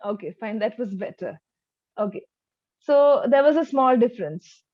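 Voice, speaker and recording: High-pitched woman tutoring spoken English. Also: animated, moderate pace, no echo, phone-quality sound. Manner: instructive, demonstrates sentences with exaggerated intonation. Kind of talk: one-to-one speaking lesson.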